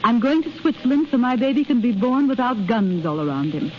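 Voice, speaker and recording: A woman's voice: a little high, expressive, fairly quick, slight background noise, lightly acted. Actress doing tender determined young mother-to-be, earnest old radio drama acting, muffled vintage recording. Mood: earnest, pleading. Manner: earnest, emotional. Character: tender, resolute young expectant mother running away.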